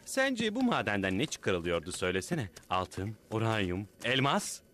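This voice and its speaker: Casting: hearty cartoon superhero, naive and grandiose, mid-pitched, very animated, forceful. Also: male voice, moderate pace, soft background music. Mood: grand musing. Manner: declamatory, grandiose. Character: pompous, naive, earnest male cartoon superhero, big and hearty. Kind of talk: dubbed cartoon hero acting.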